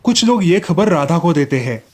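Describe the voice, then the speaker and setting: Higher-pitched man narrating children's stories. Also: sing-song, normal speed.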